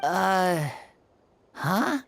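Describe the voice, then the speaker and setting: A woman voicing an earnest, wonderstruck young child in a game, with a hesitant, halting delivery. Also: fairly low pitch, sing-song, gravelly, full character voice. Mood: confused. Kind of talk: game voice acting.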